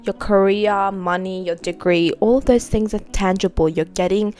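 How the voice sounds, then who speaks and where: A woman's voice: medium pitch, fairly even intonation, normal speed, a little mellow. A woman in her twenties, slightly congested, giving earnest, encouraging advice straight to her viewers in a casual vlog. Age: 20s. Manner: earnest, encouraging, addresses viewers as "you guys". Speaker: vlogger. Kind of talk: direct-to-camera advice vlog.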